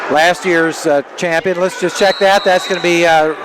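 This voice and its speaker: An older man with a fairly high voice calls wrestling on the radio. He talks in a halting, conversational manner, and there is clear venue noise all around him. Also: level tone. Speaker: play-by-play wrestling commentator, former wrestler and referee. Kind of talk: live radio sports commentary with casual banter. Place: indoor sports arena. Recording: noisy live arena broadcast. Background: loud venue noise.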